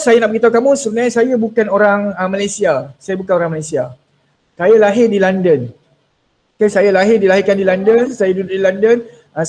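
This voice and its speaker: A man's voice: high voice, darkish tone. A man leading an online exam-technique workshop over a video call, playful and teasing, slipping English words into his talk. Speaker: workshop speaker.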